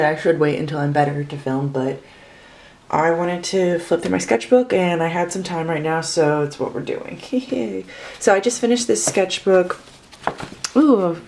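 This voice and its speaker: A young woman in her twenties talks in a low voice, with the slightly off sound of someone who is sick. She rambles and corrects herself as she pages through her sketchbook for a casual video. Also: darkish tone. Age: 20s. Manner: chatty, rambling, self-correcting. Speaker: artist vlogger.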